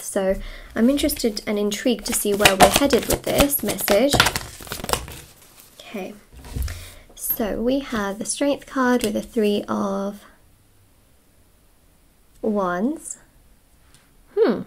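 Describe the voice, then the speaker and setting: A woman hosts an improvised tarot reading in a medium-pitched voice. She talks conversationally to her viewers, musing over meanings and often repeating a phrase in new words. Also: unhurried, long pauses, slight background noise, rough recording. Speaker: tarot reader and host. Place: indoors at a wooden table.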